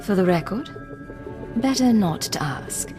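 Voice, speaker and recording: An actress playing a cool, resolute secret agent in a video game, with a controlled, serious and clipped delivery. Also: female voice, medium pitch, lively intonation, a little slow, close to an everyday voice. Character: cool, resolute female secret agent, self-possessed and guarded. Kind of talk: scripted dramatic game acting. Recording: reverberant hall, music behind.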